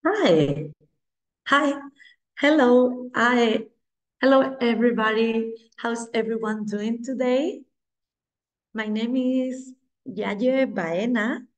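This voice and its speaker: A woman in her forties from Spain, speaking English with a Spanish accent, presenting her language school on a video call in a halting, friendly way with frequent restarts. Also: fairly high pitch. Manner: halting, repeats herself, friendly and chatty. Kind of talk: online presentation.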